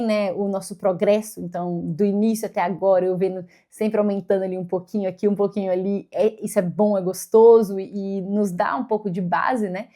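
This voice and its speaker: A woman's voice: medium pitch, warm tone. A woman with a Brazilian Portuguese accent chats casually to her followers as a vlogger, explaining things and checking in with tag questions. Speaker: vlogger, career coach. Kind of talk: casual personal vlog chat to followers.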